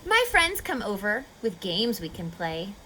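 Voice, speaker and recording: A woman reading a picture book aloud to children like a teacher, expressively, pausing to explain and ask questions. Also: medium pitch, a little hiss or hum, low-quality recording. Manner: expressive, instructive, asking questions of young listeners.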